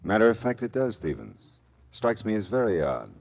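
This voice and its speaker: An actor playing a wry, laconic, world-weary private detective in a radio drama, narrating and trading dialogue. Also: male voice, fairly low pitch, very animated, normal speed, creaky. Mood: dry, wry.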